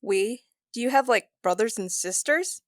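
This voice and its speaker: A woman's voice: a little high, lively intonation, normal speed, dry recording, clean studio sound, lightly acted. A woman playing a casual, teasing young space adventurer in an audio drama, informal and inquisitive in her delivery. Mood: curious, teasing.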